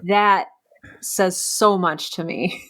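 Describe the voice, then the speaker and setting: Lower-pitched woman hosting a casual interview. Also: steady tone, slow pace, a bit bright. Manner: effusive, informal, earnest. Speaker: podcast host, interviewer.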